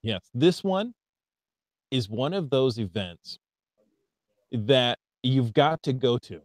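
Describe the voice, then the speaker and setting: An American man co-hosts a relaxed hobby podcast with an upbeat, chatty delivery. He reads listener mail aloud, trips over foreign names, and talks in an ordinary middle register. The sound is dry and close, with no room around it. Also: medium pitch, slow, dry recording. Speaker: podcast co-host. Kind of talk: casual podcast chat.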